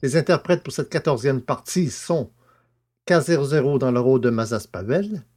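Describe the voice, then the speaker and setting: Male narrator for an amateur sci-fi audio drama, mid pitch, even and measured. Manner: even, measured storytelling delivery.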